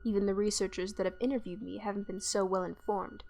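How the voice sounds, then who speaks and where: A woman's voice: medium pitch, steady tone, quick pace, a little mellow, soft background music, natural voice. A woman playing a cold, obsessive plague doctor creature in a horror roleplay, speaking in formal, old-fashioned, condescending phrases. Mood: intrigued, approving. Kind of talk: scripted horror ASMR roleplay.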